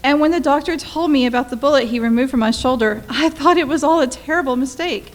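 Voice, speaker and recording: A woman's voice: high-pitched, fast talker, natural voice. A woman playing an anxious, pleading wife in a radio play, urgent and insistent. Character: anxious, pleading young wife fearing murder.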